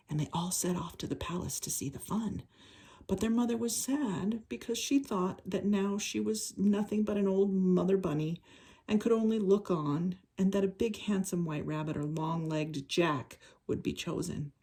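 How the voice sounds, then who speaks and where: An older woman with a husky, breathy voice reading a children's story aloud in an unhurried, expressive way. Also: medium pitch.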